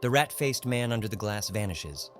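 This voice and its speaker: A low-pitched man reads scripted literary fiction as an audiobook narrator. His delivery is measured and dramatic, with soft music underneath. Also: animated. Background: faint music.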